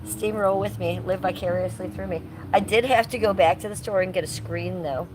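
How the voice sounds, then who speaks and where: A woman's voice: fairly low pitch, steady tone, a little fast. A woman in her fifties chatting casually on a livestream, teasing and sassy as she talks back to her viewers. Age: 50s. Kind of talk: casual livestream chat, reading and answering viewer comments. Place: outdoors in a yard by a fire. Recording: noisy live stream with ambient noise.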